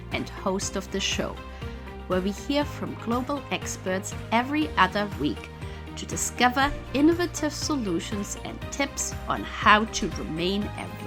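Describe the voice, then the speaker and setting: A woman hosting a podcast, reading a scripted intro and then asking questions in an enthusiastic, upbeat way. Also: a little high. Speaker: podcast host. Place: Vancouver, Canada.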